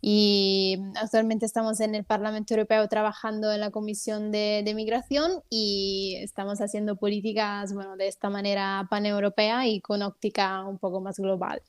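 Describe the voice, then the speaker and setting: A woman's voice: medium pitch, flat delivery, moderate pace, hardly any pauses, clean tone. A woman with an Italian accent, a native Italian speaker answering interview questions as a guest politician, explaining things at length with frequent hesitant fillers.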